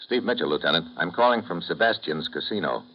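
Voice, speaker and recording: An actor playing a tough, wry American secret agent in a 1950s radio drama, terse and slangy in delivery. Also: male voice, sits low, animated, quick pace, slightly rough. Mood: brisk, businesslike. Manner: terse, hard-boiled, slangy. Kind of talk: 1950s radio drama acting and first-person narration. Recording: muffled, noisy vintage radio recording.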